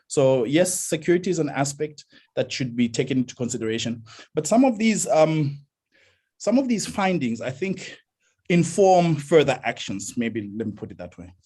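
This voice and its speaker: A South African man answers questions in an academic video call. His voice is slightly husky, and he explains hesitantly, often repeating words as he works out his point. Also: mid-range voice, sing-song, moderate pace, warm tone.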